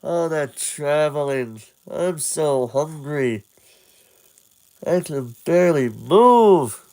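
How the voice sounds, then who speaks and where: A man voicing an excited, hungry young boy hero in a retold game story, with wide-eyed, eager exclamations. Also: on the high side, extremely slow, full character voice. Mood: weary.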